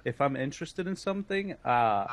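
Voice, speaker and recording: A man's voice: medium pitch, lively intonation, brisk, dry recording. A man co-hosting a talk podcast, chatting casually and putting questions to the others. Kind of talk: casual chat.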